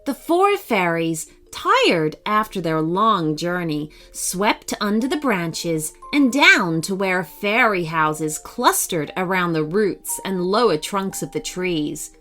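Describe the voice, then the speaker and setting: A woman narrating a scripted children's bedtime story in an expressive storyteller's manner. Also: on the low side, takes it slowly, few pauses. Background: faint music.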